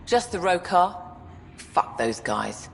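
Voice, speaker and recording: A woman's voice: on the low side, sing-song, takes it slowly, vocal fry, long reverb tail, a little hiss or hum, heavily acted. A woman playing a hardened, short-tempered outlaw leader in a video game, speaking in a blunt, menacing way. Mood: angry, defiant. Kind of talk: video game cutscene acting.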